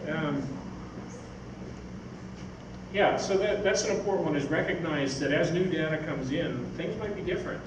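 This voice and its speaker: A man's voice: on the high side, fairly quick. An older man giving an informal, rambling, anecdotal talk on stage, answering questions from an audience. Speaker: speaker, science fiction writer. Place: on stage in an event hall. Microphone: far camera microphone. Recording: echoey hall from far off, noisy.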